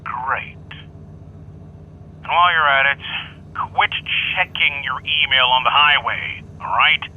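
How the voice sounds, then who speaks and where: An actor playing a gruff, weary big-city police detective with a blunt, businesslike manner on a phone call. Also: male voice, mid-range voice, slow, natural voice. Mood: curt.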